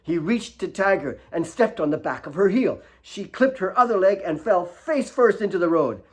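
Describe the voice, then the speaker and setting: Male fiction narrator; fairly high, very animated voice, expressive storytelling. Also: normal speed. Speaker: author reading own fiction.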